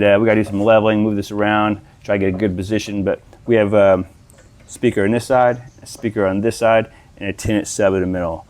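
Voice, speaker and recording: A man presenting his audio installation work in a casual, enthusiastic walkthrough, talking informally to viewers outdoors. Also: fairly low pitch. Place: outdoors by a pool and patio.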